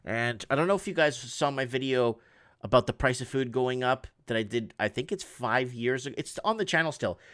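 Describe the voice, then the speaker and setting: A middle-aged man whose first language is Portuguese, hosting an online news show and reading headlines aloud in a halting way, with frequent restarts and casual asides. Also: mid-range voice, animated, fairly bright tone, no room sound, studio quality.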